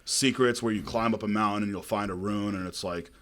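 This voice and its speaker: A young man with a deep voice, a podcast guest telling stories in a relaxed, casual way with many fillers. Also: a little fast.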